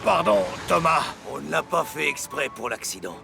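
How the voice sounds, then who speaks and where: A man voicing a mischievous, eager young twin dump truck in a children's cartoon, cheeky and gravelly. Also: fairly high pitch, big pitch swings, normal speed, heavily acted. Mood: apologetic. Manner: cheeky, playful. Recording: music behind the voice.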